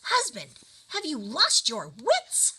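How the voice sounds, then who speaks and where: A woman voicing an exasperated storybook farm wife who scolds and yells, fed up with chaos. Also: sits high, wildly swooping pitch, slow, slight background noise, voice pushed up, exaggerated voice. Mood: outraged. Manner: scolding, yelling.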